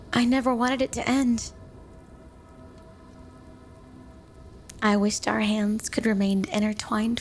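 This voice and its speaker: Yandere snow woman, female performer: high, sweet, adoring, possessive; close ASMR roleplay over music. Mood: wistful, adoring.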